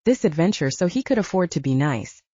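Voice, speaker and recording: A woman's voice: on the low side, big pitch swings, a little fast, mellow, husky, no echo, dull audio. A woman reading fiction as an audiobook narrator, in a scripted storytelling style.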